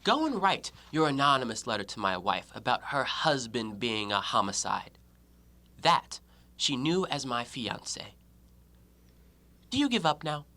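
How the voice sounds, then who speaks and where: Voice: medium pitch, big pitch swings, a little slow, bright, forceful tone, full character voice. An actor in an audio play voicing a proud, shrewd, scornful educated man with formal, theatrical delivery. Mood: dismissive. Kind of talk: stage-style audio play acting.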